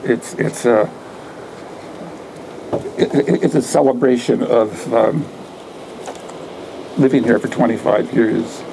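An older man in his seventies giving a live poetry reading at a microphone outdoors, speaking in deliberate, declamatory short phrases. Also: medium pitch, creaky, noisy background. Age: older, 70s. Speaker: poet reading own work. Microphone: microphone on a stand.

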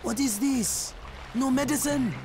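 Guarded, high-voiced village gatekeeper. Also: male voice, measured pace, noisy background. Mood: skeptical. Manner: blunt, bargaining. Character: guarded young local gatekeeper man worried for brother. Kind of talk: video game cutscene acting.

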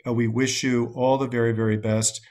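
A man in his sixties hosting an interview over a video call, asking measured questions and thanking his guest in formal terms. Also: medium pitch, little pitch movement, a little mellow. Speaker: host. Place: home room indoors.

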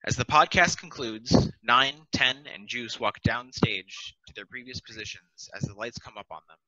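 A young man reading stage directions aloud in a plain, steady way on a headset. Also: mid-range voice, normal speed, muffled recording.

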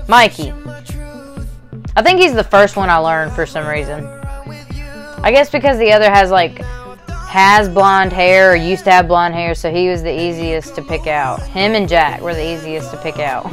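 A young woman making a casual reaction vlog at home, chatty and upbeat, muttering low while she watches, recorded on a lapel mic. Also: a bit bright. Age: young adult. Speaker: YouTube reaction vlogger. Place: bedroom at home, indoors.

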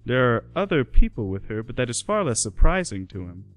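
A man's voice: medium pitch, big pitch swings, a little fast, faint music behind. A man giving scripted narration for a radio play.

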